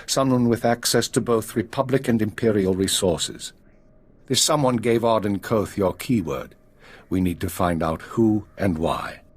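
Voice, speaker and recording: An actor playing a grave, calculating intelligence minister in a video game, speaking in a measured, formal, husky way. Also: male voice, on the low side. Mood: cool, controlled. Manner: measured, formal, coolly pragmatic. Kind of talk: dramatic game dialogue.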